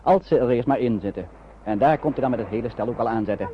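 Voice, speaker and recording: A man narrating a wartime radio play in a measured, literary storytelling manner. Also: medium pitch, noisy background, natural voice. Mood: narrative, suspenseful. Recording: muffled old radio drama recording.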